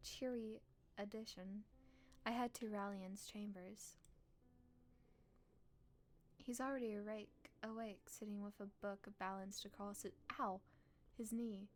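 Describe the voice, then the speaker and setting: A woman streamer, playful and expressive, reading a visual novel aloud and chatting on a live stream. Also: mid-range voice, a little slow. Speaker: game streamer. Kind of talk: live stream chat and read-aloud narration.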